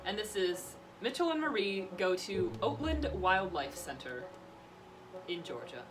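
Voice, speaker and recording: Young woman, very lively pitch, casual animated vlog storyteller, music playing clearly underneath. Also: unhurried. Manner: animated, acts out quotes. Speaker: vlogger. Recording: music behind the voice.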